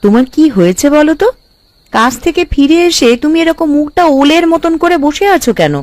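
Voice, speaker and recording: A woman's voice: high voice, animated, brisk, a little mellow, no room sound. A woman playing a blunt, anxious village wife in a cartoon, probing and exclamatory. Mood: anxious, questioning. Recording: light background music.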